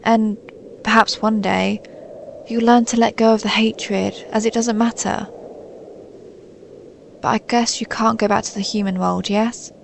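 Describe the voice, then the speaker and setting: A woman playing a centuries-old snow maiden in an intimate audio roleplay, a gentle, stoic and melancholy guardian spirit who speaks in a soothing, formal, measured way. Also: on the high side, steady tone, creaky, slight background noise. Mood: gentle, reflective. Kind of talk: scripted audio roleplay, intimate monologue to listener.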